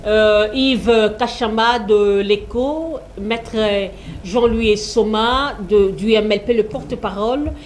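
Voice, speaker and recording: A woman's voice: on the high side, slow pace, a little hiss or hum. A woman with a Congolese accent moderating a talk show debate with polite, brief interjections.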